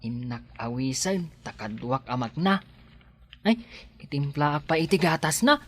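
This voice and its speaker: A man's voice: on the high side, animated, unhurried. An actor playing a devoted, anxious young husband and expectant father in a radio drama. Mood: fond, fussing.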